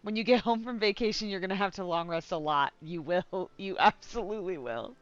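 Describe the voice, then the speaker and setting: A woman's voice: mid-range voice, a little fast, highs cut off. A woman streaming a game, giving casual, informal and rambling commentary on a close mic.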